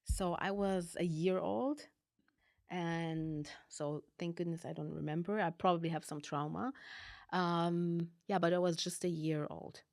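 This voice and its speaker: A low-voiced woman with a mixed German and Arabic-tinged accent tells her own story. Her voice has a gravelly fry and sits close to a dry mic. She fills the telling with likes and ums and acts out old exchanges with sudden lift. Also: unhurried, no room sound. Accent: mixed German and Arabic-tinged non-native English. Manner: animated, acts out past dialogue, frequent likes and ums. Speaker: podcast guest. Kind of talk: personal storytelling.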